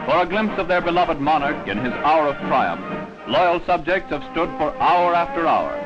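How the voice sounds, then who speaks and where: A man's voice: fairly high pitch, animated, normal speed. A man narrating a wartime newsreel of the 1940s from a script, in a dramatic, declamatory manner. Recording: dull 1940s newsreel soundtrack. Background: music.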